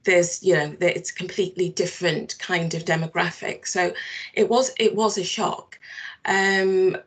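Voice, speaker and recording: A woman in her fifties from Barbados, a social work manager answering interview questions in a thoughtful, measured way with frequent restarts. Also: fairly low pitch, steady tone.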